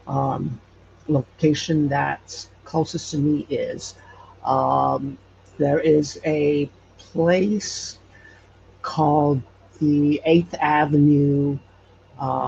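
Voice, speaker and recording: A man with a slightly high, creaky voice shares a personal story as an interview guest. He talks conversationally and pauses often in mid-phrase. The sound is dull and lo-fi, with some faint noise. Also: a little mellow. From Hawaii. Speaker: podcast guest, paranormal storyteller and investigator. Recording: muffled lo-fi with faint background noise.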